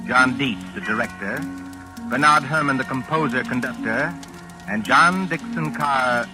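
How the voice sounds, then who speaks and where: A man narrating a 1940s radio suspense show in a measured, deliberate, dramatic manner. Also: medium pitch. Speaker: narrator and announcer. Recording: vintage radio broadcast with loud music. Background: music.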